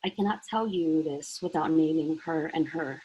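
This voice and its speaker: A woman's voice: sits low, normal speed, dark tone, breathy edge, highs cut off. A woman reading her own prose poem on a video call, intense and emphatic. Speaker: workshop participant.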